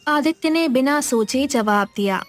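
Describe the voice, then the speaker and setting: A woman's voice: sits high, expressive, a little slow, soft background music. A woman narrating a scripted moral tale as a storyteller.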